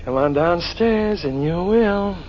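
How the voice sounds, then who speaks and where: An actor playing a breezy, streetwise buddy in a 1940s radio drama, bantering in slangy, teasing lines. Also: male voice, sits high, lively intonation, slow. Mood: teasing, eager. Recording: noisy muffled vintage radio recording.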